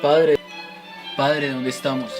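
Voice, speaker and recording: Male actor as sceptical young son, medium pitch, questioning, amateur radio drama, faint music behind. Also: little pitch movement, slow pace, close to an everyday voice. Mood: puzzled, curious.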